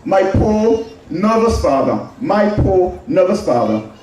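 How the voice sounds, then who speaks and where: A man's voice: sits high, sing-song, takes it slowly, a little mellow. A middle-aged man with an Anguillian accent giving an emphatic public speech, repeating key phrases for effect. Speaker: public speaker. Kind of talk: public address with personal reminiscence. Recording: very echoey, distant from the mic.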